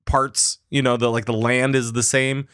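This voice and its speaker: A man's voice: mid-range voice, little pitch movement, moderate pace, fairly bright tone, clear, resonant tone, no echo, clean studio sound. A man hosting a casual gaming podcast, talking in a rambling, enthusiastic way with many restarts. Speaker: podcast host.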